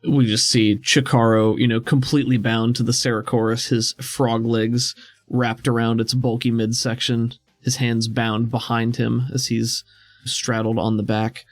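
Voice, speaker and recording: A man narrates a tabletop role-playing game as its game master. His voice sits at an average pitch and is recorded very dry and close, with faint music behind it. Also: little pitch movement, measured pace, dry recording. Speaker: game master of an actual-play podcast.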